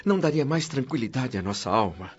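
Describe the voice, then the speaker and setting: A man's voice: medium pitch, sing-song, a little fast, breathy edge. A Brazilian man playing a homesick newcomer spirit in a radio drama, asking things politely, with anxious, hesitant curiosity. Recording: dull lo-fi audio with music underneath.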